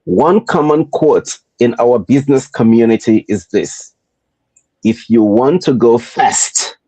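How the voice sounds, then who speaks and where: A man from Nigeria with a Nigerian accent, hosting a motivational podcast in a deliberate, repetitive rhetorical style. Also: medium pitch, mellow, slightly rough, no room sound, rough recording. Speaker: podcast host.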